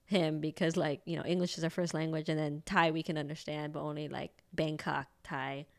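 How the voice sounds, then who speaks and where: A woman's voice: deep voice, little pitch movement, fairly quick, creaky. A woman, co-hosting a podcast and chatting casually about her path into acupuncture, with lots of fillers and laughs. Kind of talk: casual chat.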